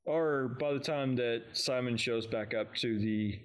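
A man's voice: medium pitch, a little slow. A man co-hosting a fan podcast, chatting informally in a self-deprecating way as he goes through listener feedback. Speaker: podcast co-host. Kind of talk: casual chat, reading listener feedback.

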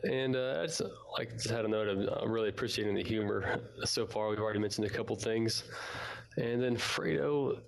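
A man's voice: on the low side, a little fast, vocal fry, rough recording. A man co-hosting a fan podcast in casual, informal chat. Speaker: podcast co-host.

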